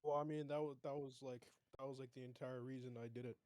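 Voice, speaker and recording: Male tabletop player, rapid casual delivery, dry recording. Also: medium pitch, little pitch movement, darkish tone, dry recording. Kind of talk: casual chat.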